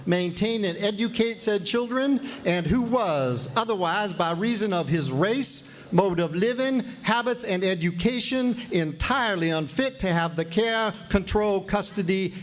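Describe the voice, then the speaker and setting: An actor playing a stern, formal lawyer of the early 1900s, measured and oratorical, in a radio drama. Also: male voice, high-pitched. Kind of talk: radio drama courtroom speech.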